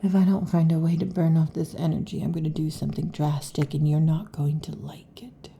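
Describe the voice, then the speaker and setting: A woman playing a restless, possessive lamia girlfriend in an intimate ASMR roleplay, with a breathy, husky half-whisper and halting, teasing delivery. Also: deep voice, flat delivery, mellow, full character voice. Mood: agitated, pleading. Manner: halting, teasing, predatory intensity. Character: restless, possessive female lamia monster girlfriend next door.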